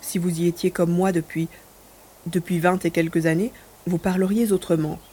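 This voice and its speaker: A woman's voice: lowish voice, fairly even intonation, moderate pace, warm tone, husky. A woman playing a bored, romantic provincial spinster in a French audio drama, with a mannered, theatrical delivery. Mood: wistful.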